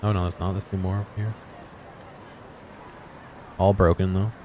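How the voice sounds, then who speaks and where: A man giving casual, off-the-cuff commentary as he explores a video game, low-key and musing to himself. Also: little pitch movement, normal speed, mellow, a little hiss or hum. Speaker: gaming video host.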